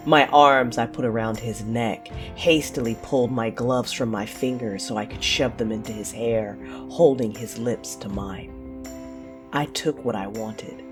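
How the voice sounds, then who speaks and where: A woman narrating an audio drama in the first person, with a deliberate, theatrical delivery. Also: a bass voice.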